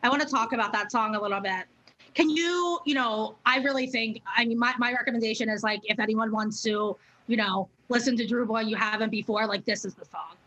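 A woman's voice: fairly high pitch, fairly quick, bright, forceful tone, highs cut off. A woman hosting a casual interview, effusive and chatty, swearing freely and filling with "like" and "um". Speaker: interview host.

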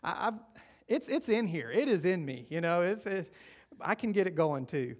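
A man's voice: high-pitched, very animated, moderate pace. A man with a Southern American accent preaching a sermon to a church, talking in a conversational way and often asking the congregation questions. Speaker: pastor.

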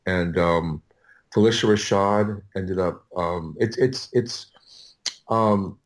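Halting, low-voiced middle-aged American man. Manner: halting, rambling, self-correcting. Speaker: audiobook producer, podcast guest. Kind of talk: casual interview storytelling.

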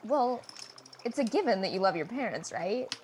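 A woman's voice: medium pitch, animated, normal speed, a little mellow, slight background noise, close to an everyday voice. A woman playing a frank, wry teenage girl in a comedy radio play, talking in a confiding monologue.

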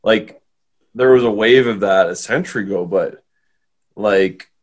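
A man's voice: lowish voice, measured pace. A man from Alberta, Canada, hosting a casual chat and rambling through questions, full of "like". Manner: rambling, heavy use of "like". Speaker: host.

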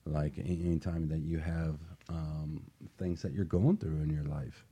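Really deep guy, slightly husky, earnest halting panel talk. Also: steady tone, mellow. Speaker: panel guest. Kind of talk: casual panel discussion.

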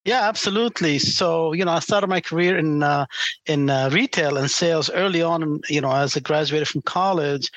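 A man whose first language is Arabic, answering interview questions conversationally as a franchise sales executive and often pausing to find his words. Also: a little high, a bit bright, no room sound.

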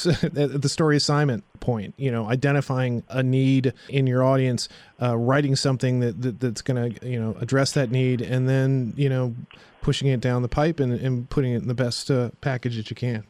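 A man's voice: medium pitch, steady tone, normal speed, a little mellow, no room sound. A man, hosting a podcast in a conversational interviewing style. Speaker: podcast host. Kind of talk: conversational interviewing and show outro.

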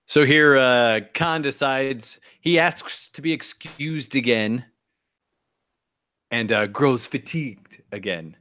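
A man's voice: mid-range voice, takes it slowly, bright, forceful tone, dry recording. Man recapping in a dry dull mix. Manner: summarizes scenes and acts out quoted lines. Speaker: podcast co-host recapping an episode. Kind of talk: casual recap chat.

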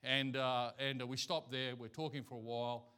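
An Australian man preaching a sermon as a missionary, spinning a personal story in a loose, conversational way. His voice is bright and forward, full of little fillers and restarts as he goes. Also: mid-range voice, fairly even intonation, normal speed, smooth.

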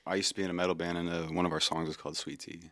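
A man's voice: low-pitched, little pitch movement, racing, bright, forceful tone. A man from Texas, hosting a casual podcast chat in a laid-back, cursing manner. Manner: laid-back, swears casually, hypes guests. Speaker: podcast host.

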